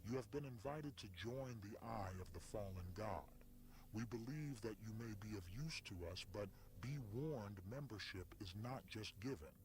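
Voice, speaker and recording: Man playing a grave, low-voiced cult messenger. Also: expressive, normal speed, lightly acted, low-quality recording. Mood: solemn menace. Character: ominous cult messenger, male, solemn and menacing. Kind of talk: scripted horror narration, formal recruitment message.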